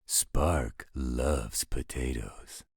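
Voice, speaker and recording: A man playing a gruff, teasing werewolf with a husky voice in a roleplay audio drama. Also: very slow, no echo, studio quality, full character voice. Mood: easygoing, teasing. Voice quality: very deep, husky. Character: gruff, teasing male werewolf with a laid-back streak.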